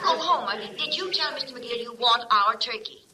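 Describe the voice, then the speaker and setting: A woman's voice: on the high side, expressive, moderate pace, close to an everyday voice. An actress with an American accent playing an easily surprised, indulgent middle-aged mother in an old-time radio comedy. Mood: concerned, indulgent. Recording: vintage radio recording with faint noise.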